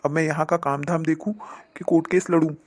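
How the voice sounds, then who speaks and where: A man acting a troubled, weary husband in a Hindi animated family melodrama, complaining plainly. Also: fairly high pitch, fast, lightly acted. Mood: worried.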